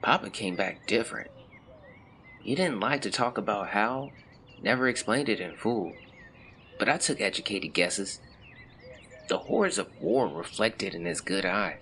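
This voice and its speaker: An actor playing a melancholy young man who reads out a confessional farewell letter in measured, formal words, as in an audio drama. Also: on the low side, bright, forceful tone, a little hiss or hum, heavily acted. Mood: somber, reflective. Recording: clear birdsong and outdoor ambience behind. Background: birdsong, nature ambience.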